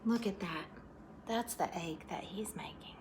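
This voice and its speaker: A woman's voice: mid-range voice, unhurried, a little mellow, slight background noise. A woman storyteller reading a picture book aloud expressively to children, adding asides about the pictures.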